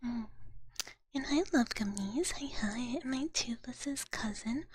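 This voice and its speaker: A woman speaks in a soft, very breathy whisper, close to the microphone. Her delivery is gentle and cutesy, in the manner of an ASMR streamer chatting casually with viewers. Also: a little high, a little slow. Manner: cutesy, gentle, refers to herself in third person. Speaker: livestreamer playing a dragon big-sister persona.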